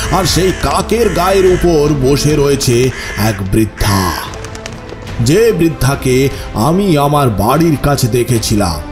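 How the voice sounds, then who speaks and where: A man acting as the haunted narrator of a ghost story, telling his own ordeal in dramatic, suspenseful first person. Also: medium pitch. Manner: slow, suspenseful, with pleading stammering outbursts in dialogue.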